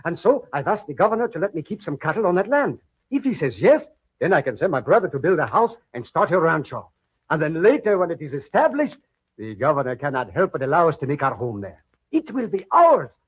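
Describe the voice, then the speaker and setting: An actor playing an eager, devoted soldier and rancher with a Spanish accent in an old-time radio drama. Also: male voice, on the high side, sing-song, a little fast, breathy edge. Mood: dreamy, hopeful. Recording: dull lo-fi old radio recording.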